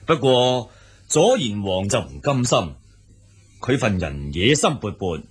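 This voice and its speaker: Man acting a low, raspy, blunt nobleman. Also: big pitch swings, takes it slowly, heavily acted. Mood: frank, approving. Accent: Cantonese. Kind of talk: Cantonese audio drama acting.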